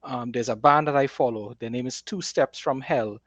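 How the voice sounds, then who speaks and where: Man, mid-pitched, dry close recording, author and host, casual chat, enthusiastic, explanatory. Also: normal speed, fairly bright tone, dry recording. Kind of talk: casual conversational talk about writing.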